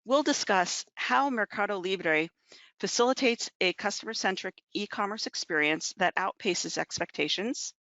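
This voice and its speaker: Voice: mid-range voice, moderate pace, pushed and bright, dry recording. A presenter reading a formal, scripted introduction before handing over to the moderator. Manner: formal, read-out delivery. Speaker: program presenter. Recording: dull, dry lo-fi audio.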